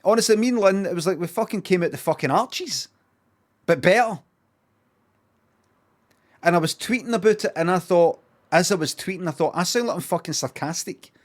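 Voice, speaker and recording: A man's voice: sits high, animated, fairly quick, pauses often. A Glaswegian Scottish man chatting casually on a livestream, rambling, swearing freely and repeating himself for emphasis.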